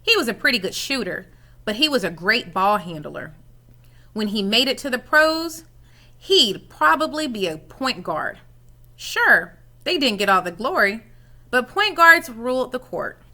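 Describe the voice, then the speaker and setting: A woman reads a children's storybook aloud with a bright, higher-pitched voice. Her delivery is very lively and expressive, swinging through the sentences as she dramatizes the action. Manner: animated, dramatizes dialogue for children. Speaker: reading coach reading aloud.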